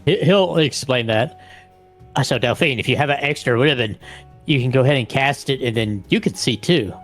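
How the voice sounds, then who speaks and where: A man's voice: medium pitch, normal speed, fairly bright tone, faint music behind. A man playing a tabletop vampire game, narrating his character's actions casually and often repeating words as he goes.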